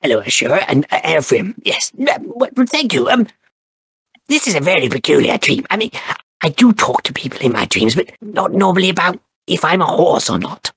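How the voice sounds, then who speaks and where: A man voicing a bashful bird-folk cleric with a husky, raspy voice, who stammers and hesitates before speaking solemnly of his goddess. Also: a little high, sing-song, brisk, fairly bright tone, dry recording, full character voice. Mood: puzzled. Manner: stammering, hesitant, then solemn when he preaches. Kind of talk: tabletop character interview.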